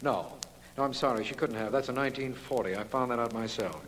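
A male actor plays the detective's loyal official friend in a 1940s radio drama. His medium-pitched voice is earnest and deferential, often puzzled. The recording is old, with faint noise and a hall-like reverb. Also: quick pace, a bit bright, lightly acted.

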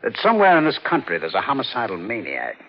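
An actor playing a grave, official but kindly police chief in an old-time radio drama. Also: male voice, mid-range voice, wildly swooping pitch. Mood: grave, confiding. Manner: official, deliberate, confiding. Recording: dull, muffled old radio recording.

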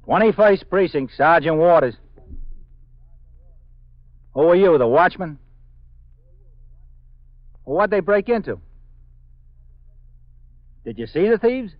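Level-headed police sergeant, vintage radio. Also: male voice, fairly high pitch, expressive, slow pace, long pauses, dry recording. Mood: businesslike. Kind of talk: 1950s radio police drama acting. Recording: dull, band-limited 1950s radio recording.

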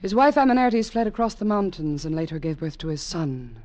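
A woman's voice: on the low side, lively intonation, brisk, darkish tone, natural voice. An actress playing an ancient, imperious, lovelorn queen in solemn, formal speech, in 1940s radio style. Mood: intense. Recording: muffled old radio drama recording.

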